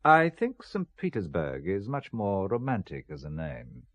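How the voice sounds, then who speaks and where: A dry-witted amateur spy in an audio thriller. His medium-pitched voice rises and falls freely, recorded close and dry. He is self-possessed, with a wry, needling edge. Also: huge pitch swings, measured pace, a bit bright, no room sound, highs cut off, heavily acted. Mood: probing.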